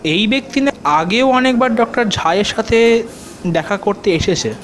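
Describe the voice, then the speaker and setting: An actor playing a confident, helpful police officer in an audio detective drama, explaining in a measured way with English terms mixed in. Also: male voice, high voice, animated, a bit bright. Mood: serious, explanatory. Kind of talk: audio drama acting in Bengali detective story.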